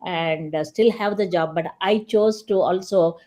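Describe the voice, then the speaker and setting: A woman's voice: lowish voice, a little slow, dark tone. An older woman from India talking earnestly on an online panel, phrasing her points carefully with some hesitation. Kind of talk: earnest panel talk on a livestream. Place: remote online livestream call.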